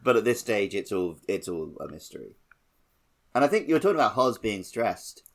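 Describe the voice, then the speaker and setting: A man's voice: fairly low pitch, very animated, a little fast, creaky. A man co-hosting a podcast, casually talking through a video game. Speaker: podcast host.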